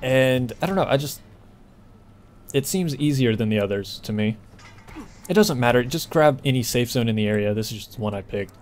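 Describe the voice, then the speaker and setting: A man's voice: medium pitch, animated, moderate pace, a little mellow. A man doing casual, conversational gameplay commentary, explaining things as he plays, over game ambience. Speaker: gameplay walkthrough host. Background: video game ambience.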